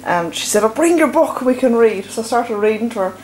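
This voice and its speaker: An Irish woman in her twenties, rambling through a story in casual chat with a friend, with frequent pauses. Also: fairly high pitch, expressive, darkish tone, low-quality recording. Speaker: friend and co-presenter.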